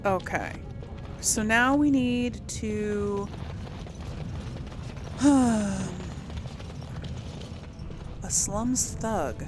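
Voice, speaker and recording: A woman's voice: fairly high pitch, extremely slow, long pauses. A woman hosting a casual role-play let's play, reacting and thinking aloud in a hesitant way. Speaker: gaming channel host. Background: game music and sounds.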